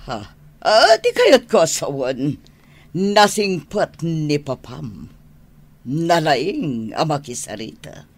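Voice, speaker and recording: A man's voice: on the high side, sing-song, takes it slowly, no echo, full character voice. A man playing a sly, teasing associate in an Ilocano radio drama. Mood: sly, amused.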